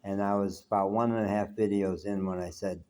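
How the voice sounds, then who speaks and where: A man's voice: fairly low pitch, flat delivery, a little fast, darkish tone, smooth. An older man from the United States, talking in a reflective, rambling way to camera from his apartment, saying he has a big voice. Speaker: vlogger. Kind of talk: reflective solo talk.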